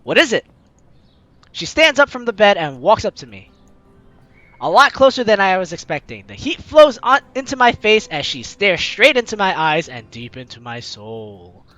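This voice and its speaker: A gaming video host reading a visual novel aloud in an animated, theatrical way, adding playful commentary, with game music behind. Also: medium pitch, moderate pace, lo-fi sound. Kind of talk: let's play commentary with dramatized reading of game text.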